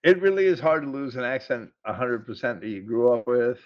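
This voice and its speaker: A man's voice: mid-range voice, animated, a little fast, dull audio. A middle-aged man with a New England accent, chatting casually as a podcast host on a group voice call, often repeating words as he goes.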